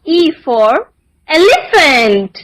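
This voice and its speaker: A woman narrating a children's alphabet lesson in a deliberate sing-song manner, enunciating each word. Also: high voice, very animated.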